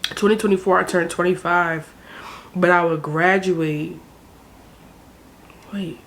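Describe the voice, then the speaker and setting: A woman's voice: fairly low pitch, steady tone, slow pace, fairly bright tone. Weary profane young woman venting to camera. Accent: American, South Jersey. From South Jersey. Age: 20s. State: tearful, tired. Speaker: vlogger. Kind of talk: emotional vent to camera.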